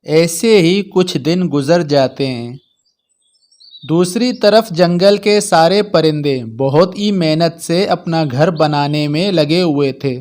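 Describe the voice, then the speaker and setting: Slow, higher-pitched man narrating a story. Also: darkish tone. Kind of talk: scripted children's story narration.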